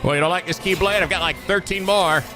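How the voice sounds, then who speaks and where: Man putting on a high, goofy old villain. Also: quick pace, music in the background, lightly acted. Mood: cocky. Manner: mocking, clownish dub-over. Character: silly boastful old villain, cocky and goofy. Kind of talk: improvised parody voice-over of game characters.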